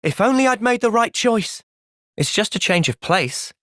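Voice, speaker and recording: An earnest young British soldier, dry studio sound. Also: male voice, high voice, expressive, normal speed, a bit bright, no room sound. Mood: thoughtful, sincere. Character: earnest, thoughtful young male soldier, gentle but resolute. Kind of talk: video game cutscene voice acting.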